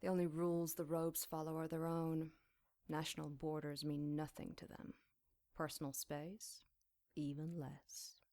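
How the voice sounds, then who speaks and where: A woman's voice: deep voice, flat delivery, a little slow, mellow, no echo, studio quality. An actress playing a captive demon witch in an audio drama, sly, wry and defiant in a dryly mocking way. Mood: bitter, scornful.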